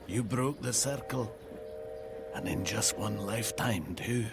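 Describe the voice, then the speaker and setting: A man's voice: on the low side, loud music behind the voice, close to an everyday voice. An actor playing a weathered old man of the frontier in a game cutscene, plain-spoken and knowing. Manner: slow, deliberate, plain-spoken. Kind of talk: dramatic game cutscene acting.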